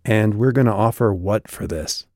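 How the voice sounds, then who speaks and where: A man's voice: on the low side, moderate pace, darkish tone, no room sound, clean studio sound, natural voice. A man voicing a feisty, sarcastic young woman in a fantasy audiobook, with a wry, blunt, casual manner.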